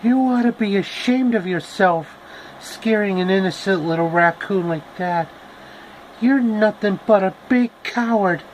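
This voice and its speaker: A man's voice: sits high, unhurried, a little hiss or hum, voice pushed up, poor audio. A man voicing a plucky, frank young girl adventurer from a storybook. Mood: scolding.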